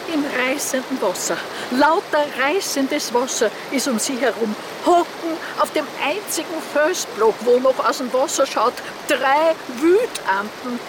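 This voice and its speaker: An actress playing an earnest Austrian countrywoman in a radio play, pleading deferentially and urgently for help. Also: high voice. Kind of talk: radio play monologue, phone call. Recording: loud rushing water noise. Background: rushing flood water.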